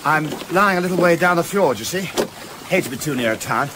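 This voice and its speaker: Man playing high-voiced, eager yachtsman. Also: animated, brisk, lightly acted. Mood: busy, practical. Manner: hesitant, boyish, chummy. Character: eager, apologetic young English amateur yachtsman. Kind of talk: radio play dialogue. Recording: clearly noisy, boat ambience behind.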